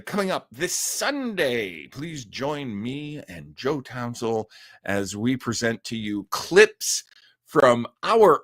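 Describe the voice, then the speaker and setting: A man's voice: mid-range voice, fairly bright tone, no room sound, clean studio sound. A man hosting a live online show, chatting casually and reading out comments in a rambling, jokey way with frequent laughter. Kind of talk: casual livestream chat reading viewer comments.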